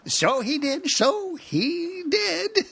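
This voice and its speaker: A man's voice: medium pitch, wildly swooping pitch, slow, fairly bright tone, slight background noise, voice pushed up, exaggerated voice. A man voicing a brusque, impatient winged male beast who scolds and barks orders. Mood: scolding.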